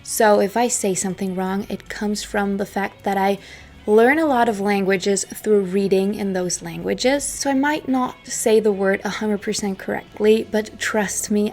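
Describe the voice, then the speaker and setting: A woman who is not a native English speaker, hosting her own channel and narrating a scripted story in a dramatic, hushed style, her phrases often trailing off into a whisper. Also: mid-range voice. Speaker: history channel host.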